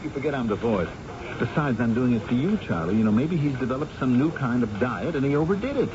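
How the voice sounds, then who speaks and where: Actor as an animated, insistent newspaper reporter. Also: male voice, mid-range voice, fast, noisy background, close to an everyday voice. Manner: insistent, informal, salesman-like. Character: persuasive, fast-talking male newspaper reporter, insistent. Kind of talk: old-time radio drama acting. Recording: dull old radio recording.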